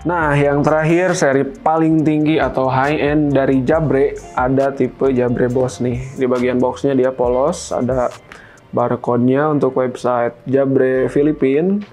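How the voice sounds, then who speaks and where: A man's voice: medium pitch, little pitch movement, unhurried, darkish tone, soft background music. A man hosting a product unboxing in an informal, explanatory, step-by-step way. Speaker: product review host. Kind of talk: casual unboxing presentation to camera.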